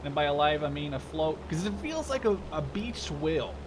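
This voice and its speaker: Higher-pitched young man commentating over game noise. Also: very animated, moderate pace. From Canada. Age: 20s. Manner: self-deprecating, joking, slangy. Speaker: gaming video host. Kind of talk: casual live gameplay commentary. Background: game vehicle sounds.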